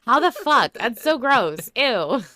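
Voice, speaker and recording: A woman's voice: high voice, big pitch swings, slow pace, bright, forceful tone, no room sound, clean studio sound. A woman hosting a podcast in casual conversation, talking informally and emphatically with blunt interjections. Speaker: podcast host.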